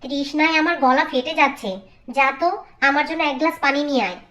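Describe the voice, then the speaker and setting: A woman's voice: high voice, steady tone, quick pace, sharp tone. A woman voicing a bossy older village mother-in-law in a cartoon fairy tale, dramatically wailing, nagging and giving orders. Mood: demanding.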